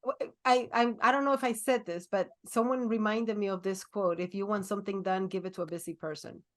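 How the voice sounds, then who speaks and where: A woman's voice: medium pitch, fairly even intonation, a little fast. A woman in her forties co-hosting a podcast, talking earnestly and repeating herself to stress a point. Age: 40s. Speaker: podcast co-host. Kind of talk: casual chat.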